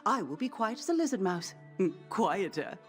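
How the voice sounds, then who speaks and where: A woman playing a gushing, self-important theater director in a video game, speaking with pompous, effusive flourishes and dramatic pauses. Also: mid-range voice, sing-song, soft background music, close to an everyday voice. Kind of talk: video game character acting.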